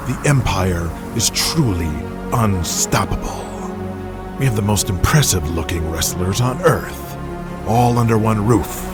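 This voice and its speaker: A man playing a ruthless, gloating wrestling empire boss in an audio drama, pompous and menacing as he makes his speeches. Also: lowish voice. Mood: boastful, proud.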